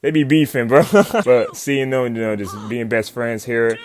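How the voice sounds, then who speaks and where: A man giving a casual, excited comic recap, full of slang, swearing and chuckles. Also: medium pitch, measured pace. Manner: slangy, swearing, often chuckling hype talk. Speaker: YouTube comic commentator. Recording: background music under voice. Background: music.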